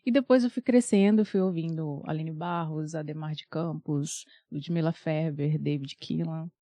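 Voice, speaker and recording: A woman's voice: low voice, normal speed, no echo. Laughing Bahian woman telling her story. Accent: Bahian Portuguese. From Bahia, Brazil. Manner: laughs often, animated storytelling. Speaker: guest singer and vocal coach. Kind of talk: casual interview chat.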